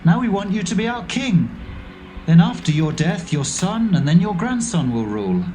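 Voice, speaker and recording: The Israelite men, male, earnest and grateful. His voice is high and lively for a man with a mellow tone, and faint music sits beneath it. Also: moderate pace. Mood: eager.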